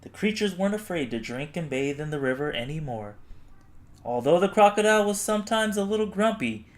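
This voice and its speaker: A man narrating a children's storybook expressively, with dramatic, deliberate phrasing. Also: high-pitched, big pitch swings. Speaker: storybook reader.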